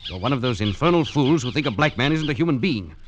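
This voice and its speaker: An actor playing a stern, exasperated English expedition leader in a radio drama, with an urgent, scolding, emphatic manner. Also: male voice, mid-range voice, animated, fast talker, dull audio, close to an everyday voice. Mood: angry, scolding. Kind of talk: old-time radio drama acting. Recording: noisy vintage radio drama recording.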